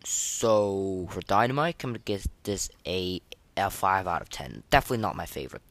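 A podcast host giving casual gaming commentary and ratings in a halting, repetitive way, full of slangy enthusiasm. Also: low-pitched, animated, a bit bright, dry recording.

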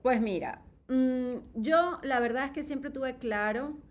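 A woman's voice: sits high, takes it slowly, slight background noise. A middle-aged woman with a Venezuelan accent, telling personal stories in a casual interview, emphatic and often checking that the listener follows. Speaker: podcast guest.

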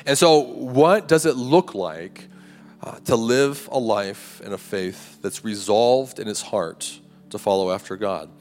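Raspy male preacher in a hall. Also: on the low side, slow pace, darkish tone. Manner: conversational preaching with rhetorical "right?" and "like". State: hoarse, clearing throat. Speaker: campus ministry preacher. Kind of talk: sermon. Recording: live hall recording, long reverb, faint music.

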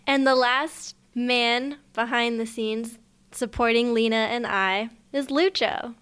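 A woman's voice: a little high, steady tone, slow, fairly bright tone, clean tone. A young woman co-hosting a podcast, chatting in a friendly, casual way. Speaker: podcast co-host.

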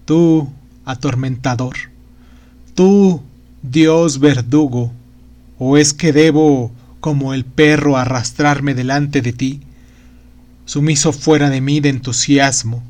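A man's voice: fairly high pitch, darkish tone. A man voicing a trembling, tormented old magician in an anguished, pleading lament, in a dramatic audiobook reading.

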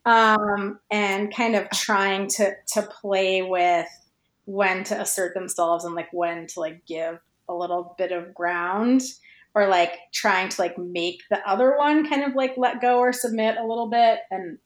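A woman's voice: medium pitch, steady tone, measured pace. Thoughtful woman in an echoey room. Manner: thoughtful, hesitant, circling to find phrasing. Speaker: guest fan fiction writer. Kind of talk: casual podcast discussion. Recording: roomy, echoey sound.

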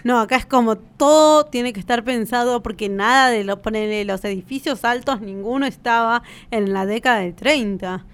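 Higher-voiced Argentine woman chatting casually. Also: moderate pace, hardly any pauses, clear, resonant tone. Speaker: podcast co-host. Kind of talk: casual chat.

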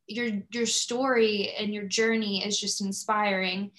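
A woman's voice: medium pitch, fairly even intonation, unhurried, fairly bright tone. A woman hosting a podcast, chatting in an appreciative, effusive way as she interviews a guest. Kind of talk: conversational interview.

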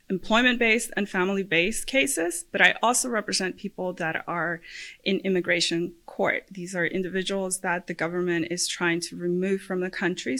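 Bright-voiced woman hosting a podcast. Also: fairly low pitch. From Puerto Rico. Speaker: podcast host, lawyer. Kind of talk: podcast monologue introducing herself.

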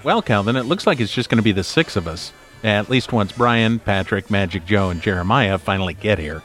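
A man's voice: lowish voice, lively intonation, fairly quick, fairly bright tone, soft background music. A man playing an exasperated podcast host and dad in an audio drama, delivered in a natural everyday voice. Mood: resigned.

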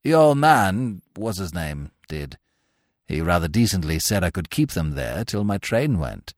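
A man's voice: sits low, very animated, measured pace, no echo, clean studio sound, pitched below the performer's own voice. A man voicing a mild, apologetic young Oxford student with an upper-class English accent and a hesitant, chatty, slangy manner. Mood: apologetic, sad.

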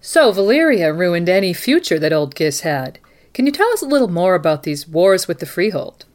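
A woman hosting a scripted mock news broadcast, presenting and interviewing in a measured, formal way. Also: on the low side, very animated, a little mellow. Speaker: podcast host playing a live news anchor.

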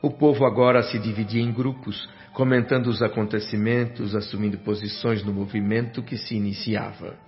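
A male actor as a reflective Brazilian narrator recalling the afterlife in a radio play. The delivery is measured and reverent, with a literary polish, in a slightly dull recording with music underneath. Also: lowish voice, little pitch movement, close to an everyday voice. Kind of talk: scripted radio drama narration. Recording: dull lo-fi sound over music.

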